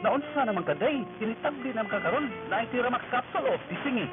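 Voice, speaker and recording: A man's voice: very high-pitched, lively intonation, quick pace. A man playing a playful, exaggerated Visayan husband in a radio ad comedy skit. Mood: coaxing. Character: playful Visayan husband coaxing his tired wife. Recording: tinny radio broadcast, music behind.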